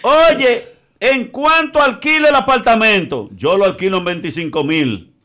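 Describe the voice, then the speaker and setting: A man's voice: high-pitched, expressive, measured pace, pushed and bright. A Dominican man hosting a call-in radio show, laughing and exclaiming as he repeats his catchphrase with callers.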